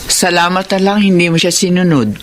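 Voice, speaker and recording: A woman's voice: fairly low pitch, a little fast, slight background noise, lightly acted. A woman in a Filipino radio drama playing a kindly, motherly employer of a housemaid. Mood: kind, reassuring.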